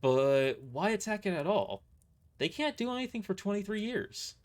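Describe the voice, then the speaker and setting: An incredulous mercenary from a sci-fi audio drama, acted by a man, pitched up from a normal male register. He questions everything bluntly, sounding startled and skeptical. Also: big pitch swings, moderate pace. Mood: shocked, questioning.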